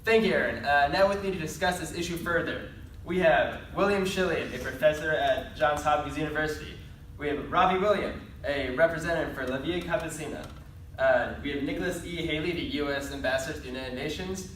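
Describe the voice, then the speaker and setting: A young man reading scripted news as an anchor, formal and measured. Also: fairly high pitch, a bit bright. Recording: roomy echo, mic at a distance, faint hiss.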